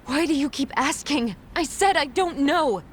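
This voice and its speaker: A woman playing a guarded, guilt-ridden young schoolteacher in a dramatic game cutscene, speaking in a measured, reluctant and earnest way. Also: sits high, slight background noise, lightly acted. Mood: agitated. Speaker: English teacher. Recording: dramatic music behind.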